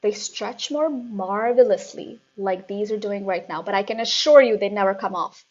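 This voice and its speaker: A woman voicing a huge, cruel headmistress who barks and shouts with contempt, on a dull lo-fi recording. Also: medium pitch, moderate pace, natural voice. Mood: bellowing scorn. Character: huge bullying female headmistress, furious and cruel.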